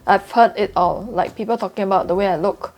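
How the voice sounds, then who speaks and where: A woman's voice: medium pitch, fairly quick, a little mellow, rough recording. A young woman with a Singaporean accent talking candidly to camera in a vlog, earnest and confiding, repeating points for emphasis. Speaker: trading YouTuber.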